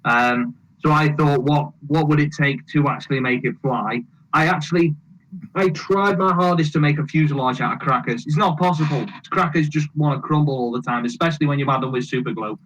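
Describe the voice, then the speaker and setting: A man's voice: on the high side, brisk, fairly bright tone, lo-fi sound. A man with a mixed Welsh and Scouse accent, a guest chatting casually on a podcast and laughing at himself. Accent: Welsh and Scouse mix. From England, between Liverpool and Manchester. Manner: chatty, self-deprecating, laughs often. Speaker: guest, model aircraft video maker.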